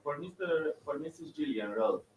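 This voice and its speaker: A man's voice: fairly high pitch, lively intonation, moderate pace, distant mic, a little hiss or hum. Insistent man, echoey room. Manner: insistent, interrupting. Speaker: heckler at a press conference.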